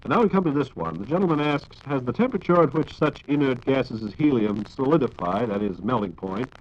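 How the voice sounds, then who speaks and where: An American man hosting a scripted 1940s radio program, speaking in a formal, measured announcer's style. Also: mid-range voice, animated. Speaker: radio program host reading listener questions. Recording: dull lo-fi 1940s radio recording.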